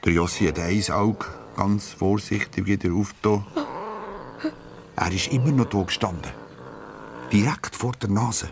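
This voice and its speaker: A man with a Swiss accent narrating a scripted children's story, using dramatic pauses. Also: fairly low pitch, background noise. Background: plucked guitar music.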